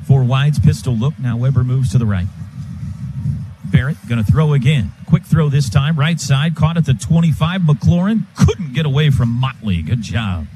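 An American man calling live football on the radio as play-by-play announcer, in clipped phrases that build toward excited calls. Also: medium pitch, normal speed. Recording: stadium booth with loud crowd noise.

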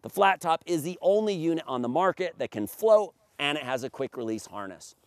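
A man's voice: on the high side, big pitch swings, fairly quick, no echo. Emphatic salesman man in an open field. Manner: emphatic, salesman-like, reading points off a sheet. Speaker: paramotor maker demonstrating his gear. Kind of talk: promotional product walkthrough. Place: open grassy field outdoors by a fence. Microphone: camera at a few meters, standing and gesturing.

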